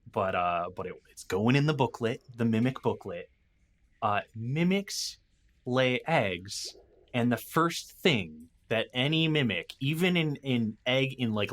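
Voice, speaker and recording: A man in a casual podcast discussion, stopping and restarting as he thinks out loud, with animated asides. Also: mid-range voice. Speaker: podcast guest.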